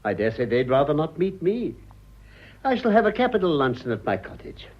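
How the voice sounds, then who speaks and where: An actor with a British accent playing an urbane, avuncular older gentleman with dry irony in a radio drama. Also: fairly high pitch, sing-song, brisk, breathy edge. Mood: dry, composed. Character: urbane, wry older English gentleman, a deserted husband. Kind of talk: stage comedy radio drama acting. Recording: slightly dull, lo-fi audio.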